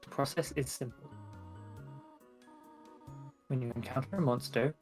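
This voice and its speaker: A person voicing a gentle motherly female guardian from a fantasy game, reading the dialogue in a formal, measured, tender way. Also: medium pitch, soft background music, lightly acted. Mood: proud, reassuring. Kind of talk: video game dialogue read aloud.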